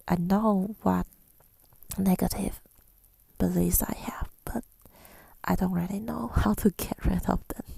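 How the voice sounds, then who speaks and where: A woman speaking in a soft, breathy whisper in an ASMR style, reflective and hesitant, pausing often, close to the microphone. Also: mid-range voice, no echo. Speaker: ASMR creator. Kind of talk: ASMR, rambling personal reflection.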